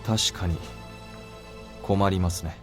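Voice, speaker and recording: An actor playing a restrained, businesslike soldier bodyguard in a Japanese visual novel, deferential but blunt. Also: male voice, deep voice, fairly even intonation, normal speed, lightly acted. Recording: game music under the voice.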